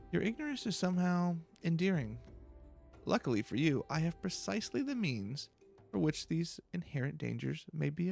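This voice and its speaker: A man's voice: fairly high pitch, vocal fry, a little mellow, heavily acted. A man voicing a pompous, fussy professor in a video game, verbose and condescending. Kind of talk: video game dialogue read aloud.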